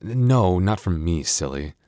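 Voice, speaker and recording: A man playing a gentle, sheepish young werewolf boyfriend in an intimate ASMR roleplay, speaking softly and hesitantly. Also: sits low, lively intonation, a little slow, no room sound. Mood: sheepish, reassuring. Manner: soft, hesitant, stammering.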